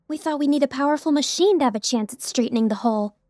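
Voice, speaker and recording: Woman playing a high-voiced curious young girl. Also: a little fast, darkish tone, no echo, clean studio sound, close to an everyday voice. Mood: casual. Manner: informal, questioning. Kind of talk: audiobook drama acting.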